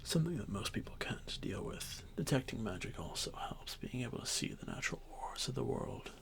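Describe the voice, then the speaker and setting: A man playing an arrogant, ancient dragon boy who was once a god, speaking with haughty condescension in a breathy, creaky voice for a fantasy ASMR roleplay. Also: medium pitch, big pitch swings. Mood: proud, instructive. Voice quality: breathy, whispery, with creaky edges. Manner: haughty, condescending, unhurried formal speech.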